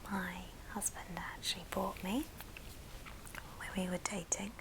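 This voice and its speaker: A woman hosting an ASMR show and tell in a soft, breathy whisper, speaking gently in short phrases. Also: medium pitch, steady tone, unhurried, background noise. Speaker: ASMR video host.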